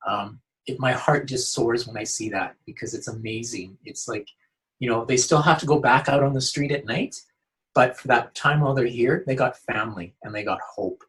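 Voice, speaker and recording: A man's voice: medium pitch, moderate pace, fairly bright tone, rough recording. A man speaking earnestly in a casual interview as a youth outreach worker, often restarting his sentences. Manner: earnest, full of restarts and "you know".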